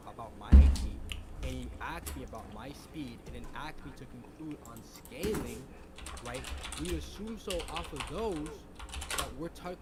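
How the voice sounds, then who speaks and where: Man, a bit high-voiced, accusatory debater on a noisy call mic. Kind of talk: heated online debate. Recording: loud background noise on a voice call.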